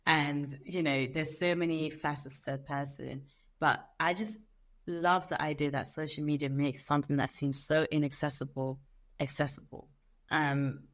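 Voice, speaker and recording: A young woman with a Zimbabwean accent, an interview guest telling stories animatedly and acting out the people she quotes. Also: low voice. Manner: animated storyteller, acts out quoted voices. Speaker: guest, lawyer. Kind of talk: conversational interview.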